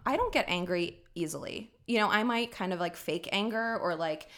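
A woman co-hosting a casual podcast, telling a story in an animated, chatty way with casual swearing. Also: lowish voice, moderate pace. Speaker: podcast co-host.

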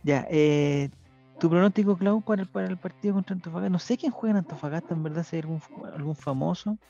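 Chilean man, host of a casual football chat, slangy and joking, gravelly and slightly high, dull lo-fi sound with faint music behind. Casting note. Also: fast talker, warm tone. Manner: joking, slangy, leads the questions.

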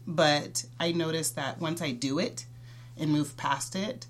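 A woman's voice: low voice, a little slow, a little hiss or hum. A woman in her forties, a podcast guest speaking earnestly and openly, laughing easily. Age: 40s. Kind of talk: casual chat.

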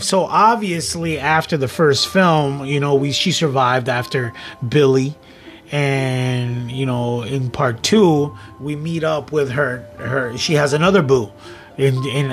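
A man hosting a casual film commentary, partly reading from notes, in a rambling way with repeated words and phrases. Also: on the high side. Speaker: host of a film commentary show.

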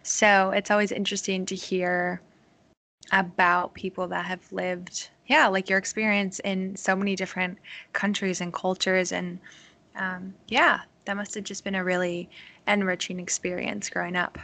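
A woman's voice: medium pitch, flat delivery, moderate pace, vocal fry, pushed and bright. A woman from Connecticut hosting a podcast interview and asking curious questions with frequent interjections. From Connecticut, United States. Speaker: podcast host. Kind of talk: casual interview conversation.

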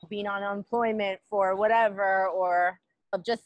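Chatty woman from Queens, relaxed and casual. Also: mid-range voice, normal speed, no echo. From Queens, New York. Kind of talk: casual interview.

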